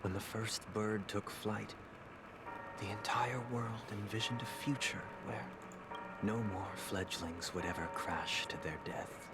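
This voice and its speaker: A man voicing a grave, world-weary brother in a video game, measured and philosophical. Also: lowish voice, fairly even intonation, heavy background noise. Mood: solemn.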